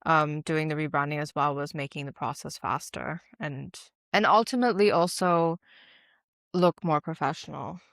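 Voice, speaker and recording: A woman co-hosting a podcast in relaxed, chatty talk, explaining at length with many fillers. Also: deep voice, normal speed, gravelly, dry recording, clean studio sound. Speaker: podcast co-host. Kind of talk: casual chat.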